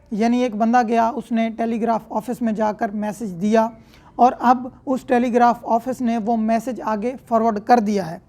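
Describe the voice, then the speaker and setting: A woman's voice: a little high, mellow. A woman with a Pakistani accent lecturing as a law teacher, explaining step by step and repeating points in other words. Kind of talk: explanatory lecture, reading English statute and explaining it in Urdu.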